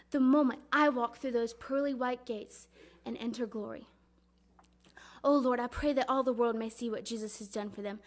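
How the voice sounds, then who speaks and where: A woman performing a dramatic religious monologue as a grieving, devout mother recalling her son, speaking earnestly and deliberately. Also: a little high, natural voice. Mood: hopeful, reverent. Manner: earnest, reverent, deliberate storytelling.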